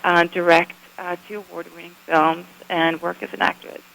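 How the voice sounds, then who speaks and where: A woman's voice: on the low side, monotone, normal speed, gravelly, fairly bright tone, poor audio. A middle-aged woman from Sweden with a Swedish accent, telling her story in a friendly, upbeat way as a radio interview guest. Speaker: photographer guest. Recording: radio broadcast.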